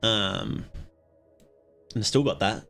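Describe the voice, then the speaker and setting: A man hosting a livestream, chatting casually with viewers in a rambling, halting way and often interrupting himself. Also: fairly low pitch, creaky, bright, forceful tone, faint music behind. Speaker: livestream host.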